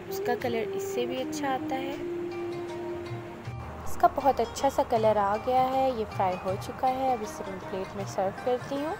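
A woman calmly explains each cooking step in a voice-over, speaking directly to viewers. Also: high-pitched. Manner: calm, instructive, addresses viewers directly. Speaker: cooking channel host. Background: loud background music.

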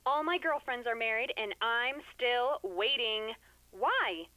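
A woman's voice: a little high, animated, takes it slowly, bright, forceful tone, no room sound, full character voice, rough recording. A woman playing an exasperated, lovelorn girlfriend calling a radio show in a comic puppet skit, dramatic and oversharing. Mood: indignant.

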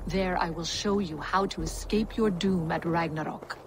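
A woman's voice: fairly low pitch, steady tone, normal speed, background noise, natural voice. A woman voicing an imperious, scheming frost giantess in a fantasy video game, with dry disdain. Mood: instructing.

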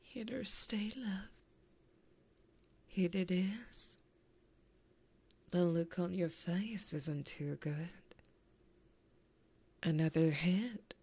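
A woman's voice: lowish voice, a little mellow, exaggerated voice. A woman voicing a flirty, possessive female demon gambler in a whispered, teasing ASMR roleplay, close to a binaural microphone. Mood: taunting, flirty. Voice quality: breathy, whispered. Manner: slow, teasing, intimate.

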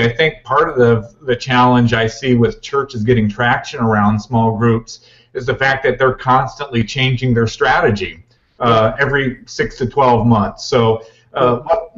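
A man's voice: mid-range voice, a bit bright. Male host with dull webcam sound. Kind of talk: interviewer on video call. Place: home office indoors.

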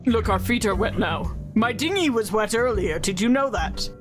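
A woman playing a flippant, rambling, easily indignant pirate in an improvised comic film dub, halting and sarcastic. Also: medium pitch, animated, music in the background, lightly acted. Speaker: improv fandub voice actor.

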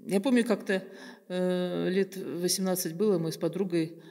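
An older woman with a slightly low voice speaks slowly and reflectively, pausing often and searching for her words. She sits at a table mic in a bright room, and her voice carries a noticeable room reverb. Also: fairly even intonation. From Kazakhstan. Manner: thoughtful, many pauses and fillers. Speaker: guest artist. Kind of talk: reflective interview talk. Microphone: table microphone on stand.

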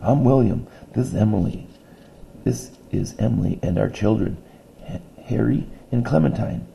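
A man voicing a tiny, cheerful fairy-tale helper father who exclaims eagerly and loudly. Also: fairly low pitch, moderate pace, vocal fry, a little hiss or hum, pitched above the performer's own voice, rough recording. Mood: excited.

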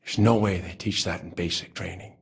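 An actor playing a hardened, self-assured military commander giving a stern, formal audio log in a video game. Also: male voice, sits low, steady tone, brisk, creaky, slightly rough, heavily acted. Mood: wistful.

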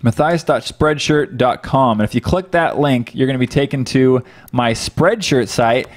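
Guy in his 30s hosting a stream, mid pitched voice, chatting with viewers up close on a desk mic. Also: moderate pace. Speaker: live stream host. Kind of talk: casual livestream chat answering viewers. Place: home office room, indoors. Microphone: close desk microphone on boom arm.

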